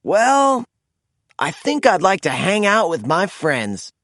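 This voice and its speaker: A man's voice: sits high, wildly swooping pitch, slow, a bit bright, dry recording, clean studio sound, voice pushed up, exaggerated voice. A man voicing a friendly, confident young hero monster truck in a children's storybook, eager and encouraging. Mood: happy, friendly.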